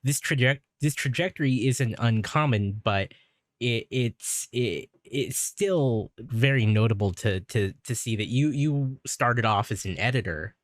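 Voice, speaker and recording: A man hosting a casual podcast interview, asking long, halting questions with many restarts. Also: medium pitch, no echo, studio quality. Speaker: podcast host.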